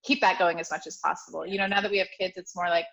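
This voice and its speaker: A woman's voice: on the low side, fairly even intonation, rapid-fire, vocal fry, fairly bright tone. An American woman in her thirties from Ohio, a chatty interview guest who laughs often and trips over restarts and fillers. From Ohio, USA. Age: 30s. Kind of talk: casual chat. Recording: dull lo-fi audio.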